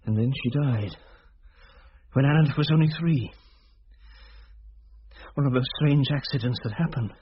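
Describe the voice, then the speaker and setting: An actor playing an anguished, ashamed father who speaks haltingly and pleadingly in a radio drama. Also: medium pitch, muffled recording, slight background noise, close to an everyday voice. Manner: halting, pleading, seeking reassurance. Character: anguished, ashamed father confessing a long-kept secret.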